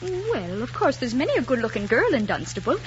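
A woman's voice: on the high side, big pitch swings, a little fast. An actress playing a spirited young Irish woman in love, with a lilting Irish accent and coquettish phrasing, in an old romantic drama. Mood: playful, coy. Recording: dull, noisy old film soundtrack.

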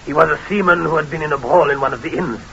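A man's voice: fairly high pitch, rapid-fire, vocal fry, bright, forceful tone. An actor playing an old French patriot father, grave and courteous, with a French accent and formal, theatrical delivery in old-time radio drama style. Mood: earnest. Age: older. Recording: dull, hissy old radio recording.

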